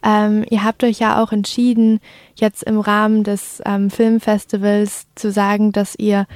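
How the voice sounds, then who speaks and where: A woman's voice: mid-range voice, completely flat delivery, measured pace, darkish tone, no room sound, studio quality. A woman interviewing a guest, asking friendly, encouraging questions. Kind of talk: radio interview.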